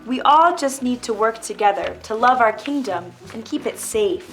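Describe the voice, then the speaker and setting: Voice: mid-range voice, animated, normal speed, fairly bright tone. An amateur performer with a female voice playing a cooperative storybook protector of the forest in reader's theater. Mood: eager. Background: clear background music.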